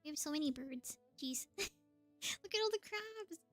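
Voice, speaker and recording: A woman's voice: sits high, expressive, measured pace, fairly bright tone, faint music behind. A woman livestreamer giving casual, chatty gameplay commentary, thinking aloud as she plays.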